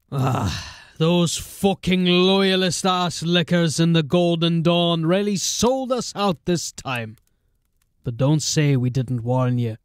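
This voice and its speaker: An actor playing a dying old gang boss in a fantasy audio drama, gruff and sardonic, weak with pain and coughing. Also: male voice, on the high side, slow pace, no echo, studio quality. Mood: bitter, urgent. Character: dying old male gang boss, defiant, bitter, foul-mouthed.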